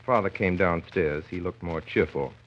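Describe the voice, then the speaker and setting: A man's voice: low-pitched, normal speed. An actor playing a grown son who wryly recalls his childhood household as narrator of a 1930s radio play. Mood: wry reminiscence. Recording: dull vintage 1930s radio broadcast.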